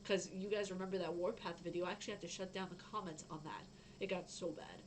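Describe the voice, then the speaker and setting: A young woman with a fairly low voice, chatting casually on a gaming news stream and reading out news, rambling with lots of fillers. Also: steady tone, fast, a little hiss or hum. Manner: chatty, rambling, frequent fillers and self-interruptions. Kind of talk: casual live-stream chat.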